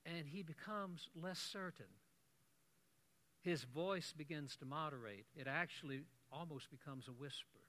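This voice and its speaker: Bright-voiced preacher telling a story. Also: male voice, a little high, measured pace. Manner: animated storyteller, wry asides. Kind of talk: sermon storytelling.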